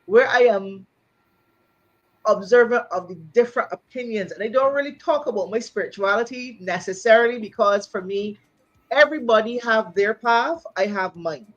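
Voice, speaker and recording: A woman from Barbados, a singer and entrepreneur, talking in an animated, casual chat full of "you know". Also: a little high, normal speed, highs cut off.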